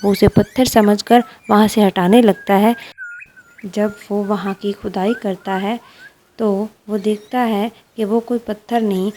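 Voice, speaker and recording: A woman's voice: medium pitch, fairly even intonation, unhurried, dark tone, slight background noise. A woman narrating a scripted fairy tale for children as a storyteller.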